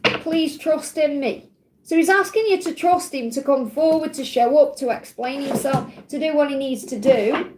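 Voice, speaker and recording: A woman from Stoke-on-Trent with her local accent and a high voice, reading tarot cards live for viewers. She speaks in a soothing, reassuring way and keeps checking in with "okay?". Her pitch sits well above most women's. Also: moderate pace, noisy background. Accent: Stoke-on-Trent English. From England (Stoke-on-Trent). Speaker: tarot reader on a livestream. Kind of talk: live card reading answering viewer questions.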